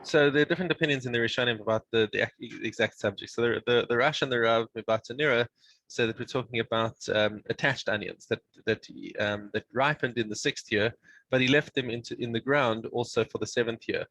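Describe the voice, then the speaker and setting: A man teaching a Mishnah study session over a video call, explaining in a halting way with many restarts. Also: medium pitch, fairly bright tone, dry recording, rough recording.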